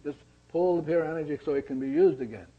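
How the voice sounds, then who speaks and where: A man teaching a spiritual seminar in a deliberate, repetitive way, asking and answering his own questions. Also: fairly high pitch. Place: seminar room with attendees. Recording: slightly dull lo-fi recording.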